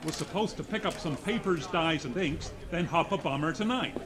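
An actor playing a harried American police inspector, brusque and exclamatory, in 1940s radio drama style. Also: male voice, fairly high pitch, moderate pace, noisy background, lightly acted. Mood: worried. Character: gruff, harried, excitable male police inspector.

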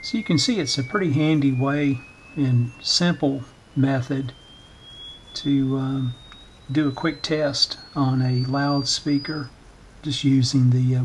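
A man giving an informal hands-on demonstration in short, halting, hesitant phrases, talking at a workbench indoors. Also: mid-range voice, a little hiss or hum, low-quality recording. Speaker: electronics repair channel host, demonstrator.

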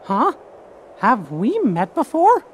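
Cartoon mouse king, falsetto squeak, very lively, unhurried, soft music. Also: a little hiss or hum, heavily acted. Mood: earnest. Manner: earnest, upbeat. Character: cheerful high-voiced cartoon mouse king, adult male. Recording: game audio with music behind.

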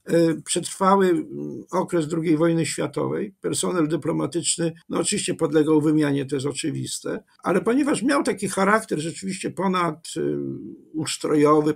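An older man, a history professor, with a strongly gravelly, husky voice pitched somewhat high for a man. He explains at length in a measured, digressive way, full of fillers and restarts. He speaks into a webcam from a home study. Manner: measured, digressive, with many fillers and self-corrections. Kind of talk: lecture-style interview answer.

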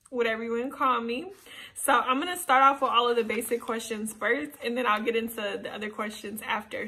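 A woman in her twenties chatting casually and playfully to the camera, answering get-to-know-me questions in a small room. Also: fairly high pitch. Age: 20s. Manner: animated, chatty, playful asides. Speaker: YouTuber. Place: small indoor room, seated on a sofa.

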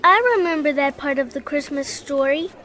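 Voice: high-pitched, expressive, moderate pace, pushed and bright. A performer playing an earnest, chatty eight-year-old boy who tells his story plainly in a radio drama. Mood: eager.

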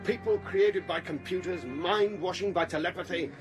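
A gruff spaceship captain from a radio drama, acted by a man, brisk and commanding. His voice is fairly high for a man, and music plays clearly behind it. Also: natural voice. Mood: brisk, grim. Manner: urgent, commanding. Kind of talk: 1980s science fiction radio drama acting.

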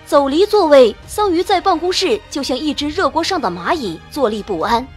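Woman reading a novel out loud, dramatic, lively pitch, quiet music behind her. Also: normal speed. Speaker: audiobook narrator. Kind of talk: scripted dramatic narration of a romance novel.

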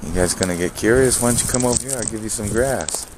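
A man's voice: on the low side, fast. A man casually vlogging a road trip through open desert scrubland, chatting to the camera and calling out to wild animals. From New Mexico. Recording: noisy outdoor camera audio.

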